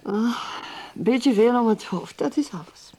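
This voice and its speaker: A woman's voice: on the high side, expressive, measured pace, mellow. An actress playing an anxious, evasive, strained woman in naturalistic radio drama, speaking in a hesitant, subdued way. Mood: strained composure.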